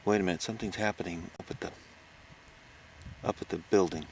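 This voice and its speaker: Frightened male reporter for horror audio drama, played by an actor: low, creaky voice, stammering into his recorder outdoors. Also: fairly even intonation, darkish tone. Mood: tense, watchful. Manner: halting, stammering, gasping exclamations. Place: outdoors on a farm. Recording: noisy outdoor location recording.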